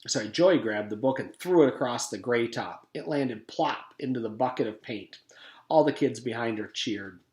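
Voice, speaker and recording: An echoey room surrounds a man reading a children's book aloud. His voice sits at an average pitch, and he tells the story as a teacher would to pupils. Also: normal speed, a little mellow.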